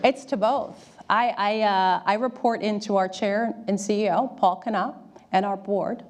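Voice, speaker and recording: A woman's voice: medium pitch, fairly even intonation, unhurried. A middle-aged woman answering candidly and emphatically as a guest in an on-stage interview. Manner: candid, emphatic, often adding "right?". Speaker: interview guest, corporate diversity executive. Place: auditorium stage before an audience.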